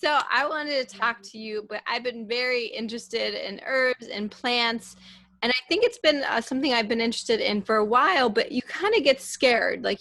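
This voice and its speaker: Gravelly-voiced woman, friendly host. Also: a little high, a bit bright, no echo. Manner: friendly, affirming, casual. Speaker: interview host. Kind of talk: casual interview conversation. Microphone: video call.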